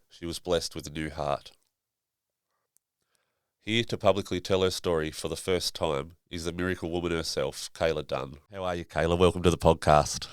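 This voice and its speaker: Deep-voiced man hosting a podcast. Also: clean tone, no room sound, clean studio sound. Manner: relaxed, informal, slangy questions. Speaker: podcast host. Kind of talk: casual interview hosting.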